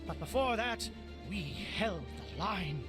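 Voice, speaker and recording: Resolute alien military captain in a game, high voice, solemn stirring delivery, music behind him. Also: male voice, sing-song, exaggerated voice. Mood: solemn, rousing. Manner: formal, measured, stirring oratory. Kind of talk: video game acting, rousing battle speech.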